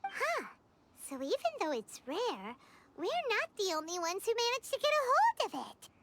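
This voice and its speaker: A woman voicing a tiny, excitable, childlike fairy companion in a video game, squeaky and curious. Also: moderate pace, heavy background noise, heavily acted. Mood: surprised, curious. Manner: exclaiming, curious, refers to self in third person. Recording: background music under the voice.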